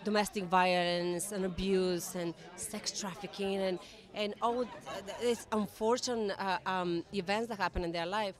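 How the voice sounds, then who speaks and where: A woman's voice: mid-range voice, fairly even intonation, normal speed. A woman with a Bulgarian accent giving earnest, unscripted interview answers at a red carpet event. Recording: crowd chatter behind voice.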